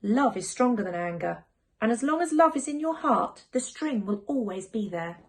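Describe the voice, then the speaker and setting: A patient, gentle storybook mother, voiced by a woman reader, soothing her little ones. Her delivery is very expressive, with a light creak in the voice. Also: fairly high pitch, normal speed. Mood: patient, loving. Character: gentle, reassuring mother of young twins. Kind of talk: children's picture book read aloud.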